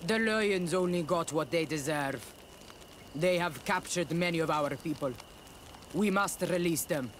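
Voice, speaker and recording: A man's voice: on the high side, a little slow, a bit bright, a little hiss or hum. A man playing a proud, stern rebel warrior leader in a video game, speaking bluntly and solemnly. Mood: defiant, grave. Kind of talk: scripted video game cutscene dialogue.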